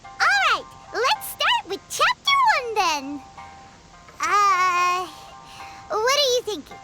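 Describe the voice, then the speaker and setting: An actress voicing a tiny, excitable childlike companion in a video game, with a high squeaky voice and a habit of exclaiming. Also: female voice, takes it slowly, over loud music, exaggerated voice, poor audio. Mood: curious. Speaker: companion character.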